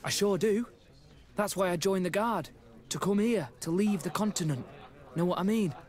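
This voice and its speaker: Casting: male actor as eager young game recruit, higher voice, hesitant and stammering, very deferential to superiors. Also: normal speed, close to an everyday voice. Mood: eager, unsure. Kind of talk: video game cutscene acting.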